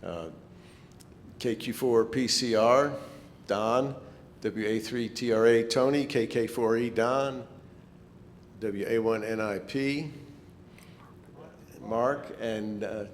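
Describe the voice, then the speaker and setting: A man's voice: slow, long pauses. A man giving an informal, slightly hesitant club presentation in a meeting hall. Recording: echoey hall, rumbling background noise.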